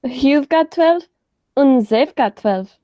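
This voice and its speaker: A woman's voice: high voice, lively intonation, takes it slowly, dark tone, no room sound. A woman playing a nervous, cautious criminal doctor, a sidekick in an amateur radio play. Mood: contradicting, pedantic. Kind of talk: radio play acting, high school theatre.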